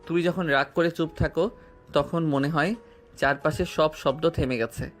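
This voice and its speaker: A man playing a tender, persistent young student in love, speaking softly and earnestly in an audio drama. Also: a little high, steady tone, normal speed, faint music behind, natural voice. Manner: soft, earnest, romantic delivery.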